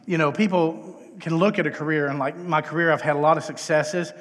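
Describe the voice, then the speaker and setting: A man's voice: a little high, fairly even intonation, a little fast, a bit bright, slight background noise. A middle-aged man with a Texan accent giving an informal, self-deprecating chapel talk as a conversational guest speaker. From Texas, United States. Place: large indoor chapel, live talk. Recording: echoey hall with long reverb.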